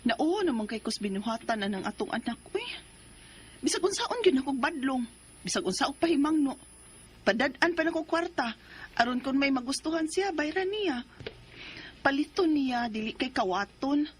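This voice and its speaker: An actress playing a young mother in a radio drama, who also narrates it, earnest and quick to defend herself. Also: high-pitched, expressive, a little hiss or hum. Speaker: narrator and lead.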